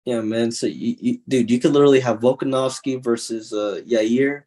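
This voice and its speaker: A man's voice: medium pitch, moderate pace. A young man co-hosting a podcast, chatting casually and animatedly, laughing often. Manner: animated, laughing, does mock call-out voices. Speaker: podcast co-host. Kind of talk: casual chat.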